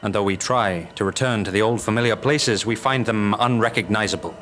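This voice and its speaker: A man playing an eerie narrator, measured and theatrical, introducing an audio drama. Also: fairly low pitch, a bit bright, soft background music, lightly acted. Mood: ominous. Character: grave, mysterious male storyteller of eerie tales. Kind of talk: ominous scripted narration.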